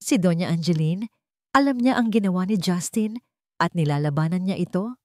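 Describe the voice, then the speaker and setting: Low-voiced Filipino woman narrating drama. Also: animated, normal speed, dark tone, no echo, studio quality. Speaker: narrator of romance stories. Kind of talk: scripted dramatic audio story narration.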